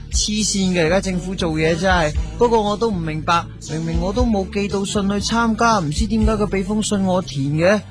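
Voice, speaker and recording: A man with a Hong Kong Cantonese accent narrating a comic radio drama in a jokey, chatty way. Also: sits high. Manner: jokey, chatty, stammering gags. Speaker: radio host and storyteller.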